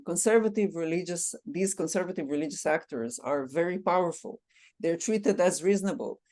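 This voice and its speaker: A woman author discussing her book in an academic interview, speaking with many hesitations and filled pauses. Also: fairly low pitch. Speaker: author of a book on religion and liberalism.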